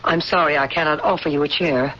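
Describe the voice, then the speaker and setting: An actress playing a calm, secretive woman official who insists on a prophecy, in a 1970s radio drama. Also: deep voice, brisk, a little hiss or hum. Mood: solemn. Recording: dull lo-fi old radio broadcast.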